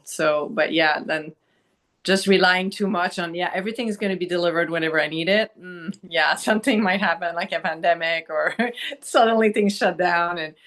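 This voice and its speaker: A woman's voice: lowish voice, moderate pace, fairly bright tone. A woman from Quebec with a Quebec French accent, a guest in a podcast interview, talking reflectively and laughing often. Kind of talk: casual conversational interview.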